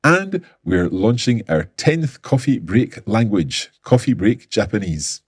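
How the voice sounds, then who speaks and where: Brief: man, lowish husky voice, enthusiastic scripted presenter, dry close sound. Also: big pitch swings, slow pace, dry recording. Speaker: company presenter.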